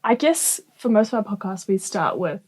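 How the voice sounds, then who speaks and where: A woman's voice: mid-range voice, animated, moderate pace, no room sound. A woman co-hosting a podcast, reading the show's intro and asking the guest easy questions. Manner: clear scripted reading, then quiet and tentative. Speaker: podcast co-host. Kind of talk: scripted podcast intro, then casual questions.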